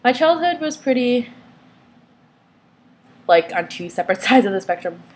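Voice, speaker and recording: A young woman whose first language is Vietnamese, talking casually to the camera with lots of pauses and fillers. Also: a little high, sing-song, darkish tone. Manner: hesitant, many fillers and restarts. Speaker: vlogger.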